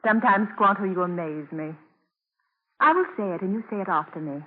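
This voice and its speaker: An actress in a 1940s radio drama playing a gracious, patient merchant's wife who speaks formally and carefully. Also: female voice, medium pitch, expressive, brisk, close to an everyday voice. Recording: tinny old 1940s radio broadcast.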